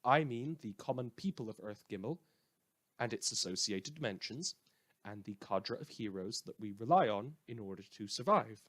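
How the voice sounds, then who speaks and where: Male radio host for fiction monologue. Lowish voice, wry, theatrical, measured storytelling.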